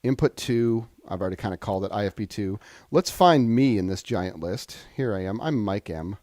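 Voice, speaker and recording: A man explaining software in an unscripted tutorial, thinking aloud and halting as he goes. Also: on the low side, lively intonation, dry recording, studio quality. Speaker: software trainer. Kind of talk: unscripted screen-share tutorial.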